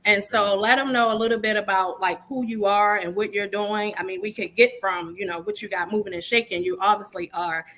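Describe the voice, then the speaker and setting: Bright-voiced upbeat woman host. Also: mid-range voice, brisk, hardly any pauses, rough recording. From Baltimore, USA. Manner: lively, upbeat, addresses viewers directly. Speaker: show host. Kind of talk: live online interview show.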